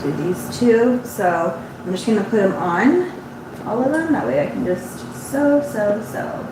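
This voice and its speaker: A woman's voice: medium pitch, lively intonation, measured pace, far from the mic. Congested woman chatting in an echoey room. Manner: chatty and rambling, with frequent restarts. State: congested, runny nose. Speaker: embroidery shop owner. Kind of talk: casual live-stream chat while sewing. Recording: very echoey room, voice off-mic, audible background hum.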